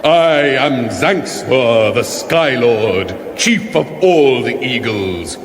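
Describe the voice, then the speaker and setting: Slow, echoing, pompous eagle chieftain. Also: male voice, mid-range voice, lively intonation, background noise. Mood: pompous, commanding. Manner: pompous, ceremonious, measured. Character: stately male eagle chieftain, grand and formal. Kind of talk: radio comedy fantasy acting. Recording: echoing reverb over loud music.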